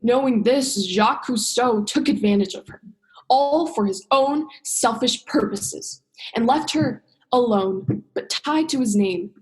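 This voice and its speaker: A woman's voice: on the high side, measured pace, natural voice, poor audio. A young woman acting an earnest, principled diver in a student radio play. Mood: pleading, indignant. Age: young adult.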